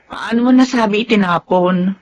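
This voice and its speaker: An actress playing an anxious, hesitant wife in a Filipino radio drama. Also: female voice, medium pitch, normal speed, slight background noise, lightly acted. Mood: worried, doubtful. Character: anxious, hesitant wife unsure about raising a child.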